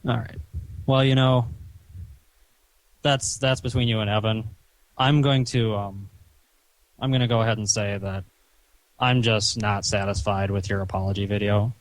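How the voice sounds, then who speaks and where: Guy w/ kinda low voice scolding someone on a call, halting, lots of you knows, very dry sound. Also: no room sound. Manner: stern, chiding, halting with frequent "you know". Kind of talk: phone conversation, reproachful lecturing. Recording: recorded phone call.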